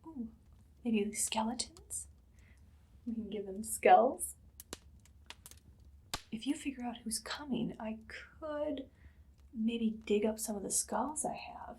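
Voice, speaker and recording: A woman playing a haughty evil queen in an ASMR roleplay, speaking in a soft, breathy, often whispered voice with imperious, sweetly sinister deliberation. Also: on the high side, takes it slowly, long pauses, heavily acted. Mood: pleased, musing. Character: evil haughty queen, casually menacing, commanding a captive servant.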